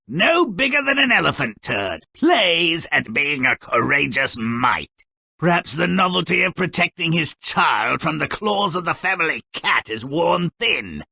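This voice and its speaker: An actor playing a cynical, gleefully morbid, grumpy dwarf storyteller in a video game, with a raspy, gravelly voice and a pompous, sardonic, theatrical delivery. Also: male voice, medium pitch, bright, forceful tone, no echo, exaggerated voice. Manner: sardonic, pompous wordy, mock-dramatic swoops and drawn-out endings. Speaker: narrator character. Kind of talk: theatrical scripted game narration.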